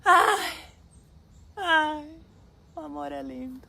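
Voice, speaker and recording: A woman's voice: high-pitched, very slow, gravelly, crowd noise. A woman in her thirties chatting playfully on social media, gushing and joking. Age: 30s. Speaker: reality show participant. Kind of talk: casual social media video.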